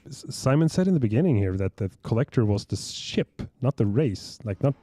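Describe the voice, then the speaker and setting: A man's voice: mid-range voice, very animated, fairly quick, dark tone, dry recording. A young man chatting on a livestream as host, rambling and reading out viewer questions. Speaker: stream host. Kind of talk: casual livestream chat.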